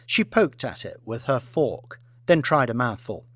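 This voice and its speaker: A man's voice: mid-range voice, sing-song, unhurried, no echo. A man narrating an audiobook in a dramatic, scripted storytelling style.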